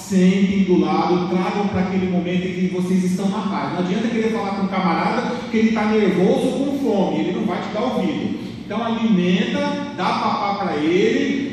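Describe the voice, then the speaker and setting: Guy on stage giving blunt joking marriage advice, higher voice, echoey hall, far off mic. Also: a little mellow, slight background noise. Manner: direct, joking, preachy. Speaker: marriage speaker. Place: large dark stage, church hall. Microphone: handheld mic.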